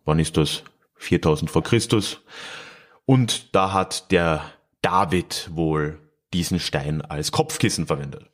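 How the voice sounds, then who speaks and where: A man hosts a history podcast on his own, talking in a loose, chatty way. He chuckles now and then and pauses with little fillers. His voice is very deep. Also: expressive. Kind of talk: casual solo podcast narration.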